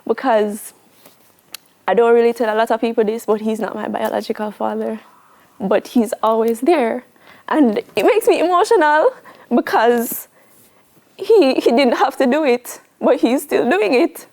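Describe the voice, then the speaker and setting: Young Jamaican woman, higher-pitched voice. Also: a little slow, darkish tone. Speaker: interview guest, young mechanic. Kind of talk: casual TV interview.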